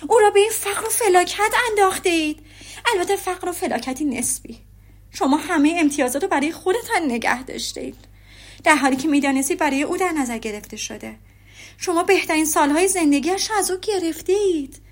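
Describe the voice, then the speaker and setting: A sharp-tongued young lady pushes her words out hot and rising, as a woman reads her lines. The storybook reading keeps her voice high and full of indignant heat. Her accusations climb in pitch. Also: close to an everyday voice.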